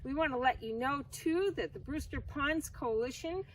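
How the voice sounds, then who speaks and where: A woman's voice: high voice, moderate pace, fairly bright tone. A woman speaking outdoors as an event host, giving a closing announcement. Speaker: event host for a pond conservation group. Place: outdoors beside a pond. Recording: distant mic, faint outdoor noise.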